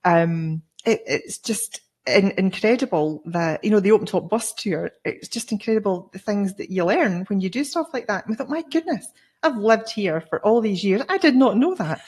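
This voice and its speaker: A middle-aged Scottish woman in casual conversation, telling travel stories in a chatty way. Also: lowish voice. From Scotland. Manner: chatty, storytelling. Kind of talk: casual chat.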